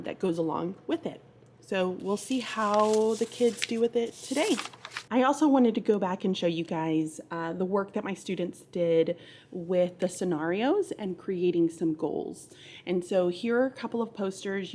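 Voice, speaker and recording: A woman's voice: fairly low pitch, darkish tone, slight background noise. A woman teacher talking casually through her week in a vlog, chatty and a bit tired, with a sleepy voice. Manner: chatty, with frequent ums. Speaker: fourth grade teacher.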